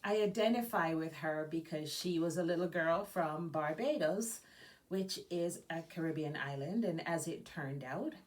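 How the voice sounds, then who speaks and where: A woman's voice: low voice, normal speed. Jamaican-born woman reading a story aloud. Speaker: education nonprofit executive director reading as guest. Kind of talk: children's story time read-aloud with informal asides.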